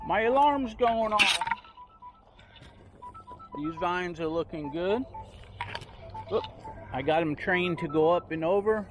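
Slow-talking man on a garden walk. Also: high-pitched, animated, music in the background. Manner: rambling, unhurried, points things out as he walks. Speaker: home gardener giving a garden tour. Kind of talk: casual walking garden tour. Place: outdoors in a backyard garden.

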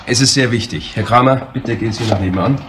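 An actor playing a concerned police colleague in a German crime radio drama, brisk and matter-of-fact, asking probing questions. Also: male voice, medium pitch, background noise, lightly acted. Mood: grave, probing.

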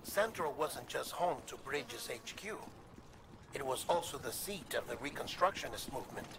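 Solemn game official, composed n persuasive, mid-pitched, lots of background noise. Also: male voice, animated, normal speed. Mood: somber. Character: composed male official briefing a courier, earnest, persuasive. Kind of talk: video game voice acting. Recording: clear background noise and ambience.

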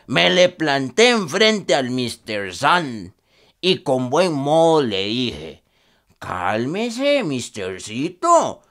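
A man's voice: high-pitched, pushed and bright, heavily acted. An actor playing an older Costa Rican rural foreman who tells his story in a folksy, colloquial way for a radio drama. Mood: earnest.

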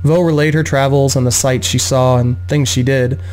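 A man's voice: medium pitch, fairly even intonation, normal speed, a little mellow, poor audio. A man in his thirties reading a fantasy story aloud as dramatic audiobook narration, close to a desk microphone. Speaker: reader of a play-by-post game journal. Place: small home room, indoors.

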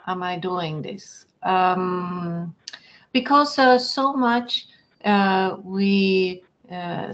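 A woman's voice: on the low side, dull audio, a little hiss or hum. German-accented woman giving a webinar talk. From Argentina. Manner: earnest, measured, with frequent fillers. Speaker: webinar presenter. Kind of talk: online lecture.

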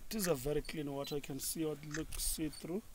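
A man guiding tourists on an open motor boat, explaining things calmly. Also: a little high, brisk. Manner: calm, explanatory. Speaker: safari boat guide. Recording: loud wind and boat noise, distant mic.

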